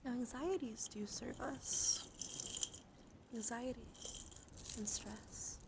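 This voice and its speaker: A woman streaming ASMR roleplay as a soothing bedtime monologue, speaking gently and reassuringly in slow, short phrases that often drop into whispers. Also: on the high side, steady tone, very noisy. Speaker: live streamer, ASMR host.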